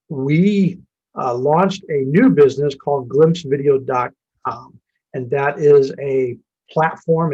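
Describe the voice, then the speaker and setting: A man's voice: fairly high pitch, a little mellow, muffled recording. A man of about sixty, a business owner telling his story in a casual, folksy interview. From Indiana and Ohio, USA.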